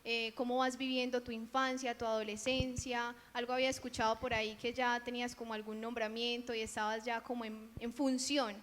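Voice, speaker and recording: A woman's voice: fairly high pitch, little pitch movement, a little fast, hardly any pauses, fairly bright tone, slight background noise. A woman hosting a faith interview, asking her guest questions in a friendly, inviting way. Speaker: interview host.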